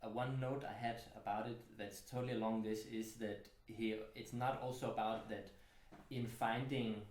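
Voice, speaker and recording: A man's voice: lowish voice, steady tone, moderate pace, darkish tone, reverberant, a little hiss or hum. A man hosting a casual, thoughtful book club conversation in a home studio, talking reflectively with many fillers. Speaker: host.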